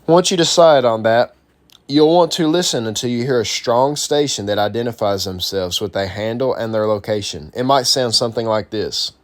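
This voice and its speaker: A man's voice: medium pitch, moderate pace. A man presenting an instructional guide, reading a script aloud in an informal, explanatory way. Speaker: presenter of a CB radio how-to guide.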